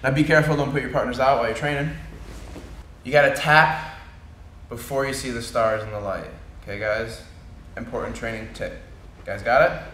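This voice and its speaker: A man's voice: mid-range voice, steady tone, normal speed, long pauses. A man in his thirties giving a casual, slangy martial arts lesson as a laid-back instructor, often checking that he is being followed. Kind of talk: casual hands-on technique demonstration. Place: indoor gym with mats and padded walls. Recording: echoey room with background noise.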